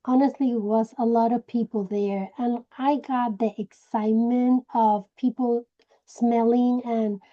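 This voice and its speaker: A woman from Puerto Rico with a Puerto Rican Spanish accent, Spanish her first language, telling her personal story slowly and searching for words. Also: a little high, steady tone, lo-fi sound. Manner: slow, searching for words, many restarts. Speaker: direct sales director. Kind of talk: personal story told to a group.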